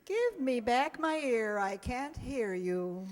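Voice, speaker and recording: A woman playing a wry, sarcastic high school teacher in old-time radio comedy style. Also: sing-song, takes it slowly, darkish tone. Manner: dry, wisecracking. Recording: reverberant hall recording.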